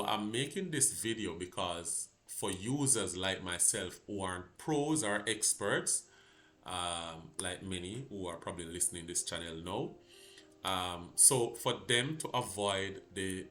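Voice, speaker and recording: A Jamaican man hosting a gaming channel, talking through a game fix in a halting, casual way with many fillers and restarts. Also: low-pitched, sharp tone. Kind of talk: casual tutorial walkthrough.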